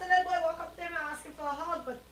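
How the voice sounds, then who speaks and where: A woman's voice: very high-pitched, steady tone, very fast, over a noisy crowd. A woman with a Bahamian accent hosting radio banter, indignant and emphatic, repeating herself for effect. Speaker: radio host. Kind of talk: casual on-air banter and commentary.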